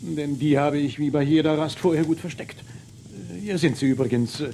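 Hesitant, dignified western general, creaky voice, faint noise behind, radio play. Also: male voice, fairly high pitch, warm tone. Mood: halting, explaining. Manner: halting, hemming. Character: robbed army general, hesitant yet dignified newcomer.

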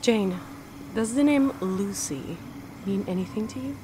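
Woman acting as soothing grim reaper lady in a radio drama, solemn n measured, noisy bg. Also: mid-range voice, close to an everyday voice. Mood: grave, regretful.

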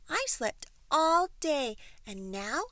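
A woman's voice: sits high, big pitch swings, slow, bright, forceful tone, no echo, pitched above the performer's own voice, heavily acted. A woman voicing a chirpy, stubborn baby songbird with a singsong, insistent delivery in a children's storybook. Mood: cheerful.

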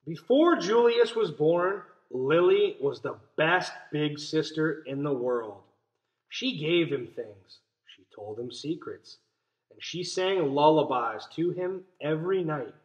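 Higher-voiced young man teaching children. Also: lively intonation, slow pace, lo-fi sound. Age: young adult. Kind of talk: read-aloud lesson for young children. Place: small indoor room at home. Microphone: webcam or laptop mic at arm's length.

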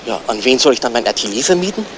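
A man's voice: mid-range voice, animated, rapid-fire, background noise, lightly acted. A man playing an insistent, exasperated landlord in a 1970s radio crime drama, polite but pressing.

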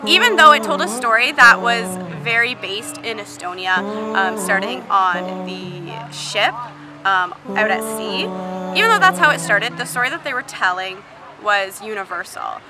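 A woman's voice: mid-range voice, lively intonation, normal speed. A young woman with a Canadian accent giving a casual spoken testimonial outdoors. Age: young adult. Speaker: festival ambassador giving feedback. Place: outdoors in a park. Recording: loud music behind the voice.

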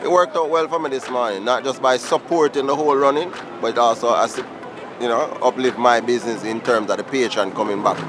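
A man's voice: medium pitch, fairly quick, noisy background. A street vendor speaking steadily about his sales.

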